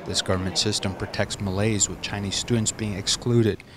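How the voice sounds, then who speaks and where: Man, lowish voice, news voice-over, measured delivery, noisy background. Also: fairly even intonation. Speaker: news narrator.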